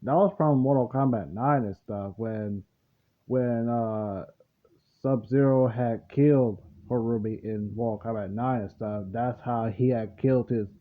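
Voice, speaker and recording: A man's voice: mid-range voice, a little slow. A man hosting a reaction video, commenting casually in a loose, rambling way and often repeating himself. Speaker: host of a reaction channel. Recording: muffled, phone-like narrow sound.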